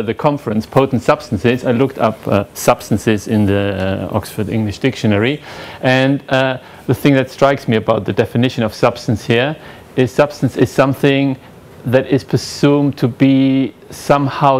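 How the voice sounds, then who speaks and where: A man with a German accent giving an academic lecture at a lectern in a lecture room, talking conversationally and questioning the audience. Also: mid-range voice. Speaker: conference speaker.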